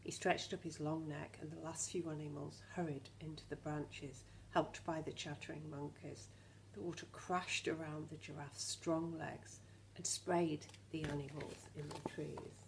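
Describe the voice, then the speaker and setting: Low-pitched woman reading a storybook to children. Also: unhurried, gravelly, few pauses, a little hiss or hum, rough recording. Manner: animated, questioning, addresses children directly. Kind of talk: expressive children's storybook reading with asides to the listeners.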